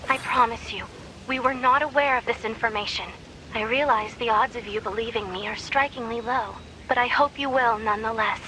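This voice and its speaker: A woman voicing a gentle, peaceable machine village leader in a video game, speaking politely and formally in measured phrases. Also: fairly high pitch, background noise, close to an everyday voice. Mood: earnest.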